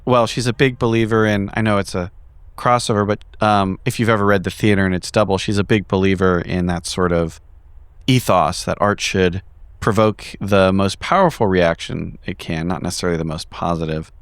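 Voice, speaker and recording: A man plays a savvy woman investigator in a tabletop game, lifting his low voice a little in a close, dry recording. She is courteous and persuasive, feeling her way through a bluff with small hesitations. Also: steady tone, moderate pace, clean tone, no echo. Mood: smooth, persuasive. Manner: hesitant, polite, improvising. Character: savvy female ex-agent bluffing as an art buyer's assistant.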